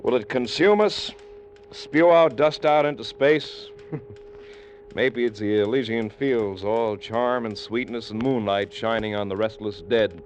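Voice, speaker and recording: An American actor playing a brooding, commanding rocket ship captain in an old-time radio drama, theatrical and ruminative. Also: male voice, mid-range voice, measured pace, natural voice. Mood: brooding, restless. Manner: theatrical, ruminative, with clipped official orders. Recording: dull vintage 1950s radio recording. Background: dramatic background music.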